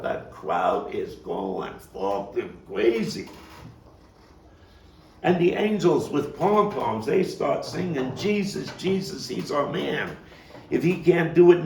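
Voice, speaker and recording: A man performing a theatrical stage monologue as a streetwise, nostalgic Brooklyn baseball fan, telling it with deliberate pauses and big swings in volume. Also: mid-range voice, big pitch swings, a little mellow. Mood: excited. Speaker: actor and playwright performing his own monologue. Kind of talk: dramatic stage monologue at a table read. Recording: roomy, distant mic with faint noise.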